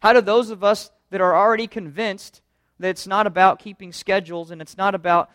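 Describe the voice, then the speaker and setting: A man with a fairly high voice preaches to his congregation, earnest and conversational, asking question after question. The sound is close and dry, with no room around it. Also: steady tone, a little fast, fairly bright tone, no echo. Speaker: pastor. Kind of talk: sermon.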